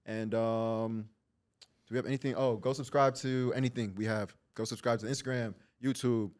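A man's voice: mid-range voice, steady tone, darkish tone. A man hosting a podcast, joking around and laughing often in casual chat. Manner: fast, joking, laughs a lot. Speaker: podcast host.

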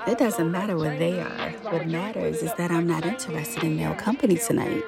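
Woman acting a cool, creaky-voiced, guarded love interest. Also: lowish voice, brisk, over loud music, over a noisy crowd. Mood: aloof, teasing. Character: guarded, self-assured older love interest at a club. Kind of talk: audio drama dialogue.

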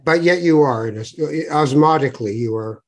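A man's voice: fairly high pitch, normal speed. A man from Toronto hosting a podcast interview, chatty, with fillers and restarts. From Toronto, Canada. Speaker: podcast host. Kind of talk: conversational interview.

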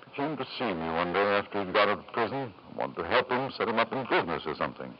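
An actor playing a powerful middle-aged tycoon in a radio drama, with halting, smooth-talking insistence. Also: male voice, fairly low pitch, sing-song. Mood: hesitant charm. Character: powerful, self-satisfied middle-aged British tycoon, hesitant in charm. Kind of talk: 1950s radio drama acting. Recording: dull, noisy 1950s radio recording.

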